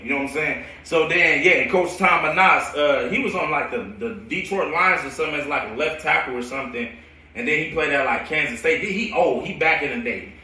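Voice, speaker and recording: Bright, higher-pitched American man, echoey and distant. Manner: animated, slangy, laughs often. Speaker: football coach hosting a storytelling show. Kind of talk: casual, rambling storytelling on a live stream. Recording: roomy echo, distant from the mic.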